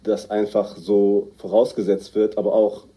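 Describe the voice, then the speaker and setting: A man's voice: lowish voice, little pitch movement, moderate pace, dark tone. A man giving an informal personal account as a guest contributor. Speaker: guest sharing a personal experience.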